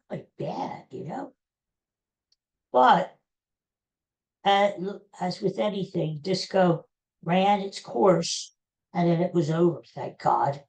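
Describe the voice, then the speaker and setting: A woman's voice: lowish voice, gravelly, darkish tone. A woman in her sixties hosting a solo podcast, rambling in a chatty way and talking straight to her listeners. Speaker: podcast host. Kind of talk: casual solo podcast monologue.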